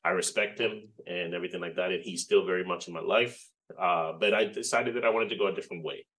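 A man in his forties with a very low voice. He is a Spanish speaker who talks as an interview guest. He recounts things reflectively and often adds "you know". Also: steady tone, fairly bright tone, poor audio. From Puerto Rico. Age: 40s. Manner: reflective, unhurried storytelling with frequent "you know". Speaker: guest, game developer. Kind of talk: casual interview chat.

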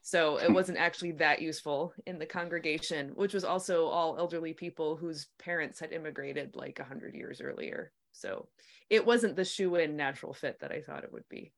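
A woman in her forties, chatting in a relaxed conversation, laughing easily and making quick asides. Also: sits low. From New Jersey/New York, USA. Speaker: podcast host and guest. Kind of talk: casual conversation.

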